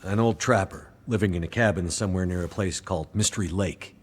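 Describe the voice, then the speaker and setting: A man's voice: sits low, brisk. A man playing a wary, plain-spoken traveler in a video game cutscene, with an understated delivery. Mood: sober, plain. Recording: faint music behind the voice.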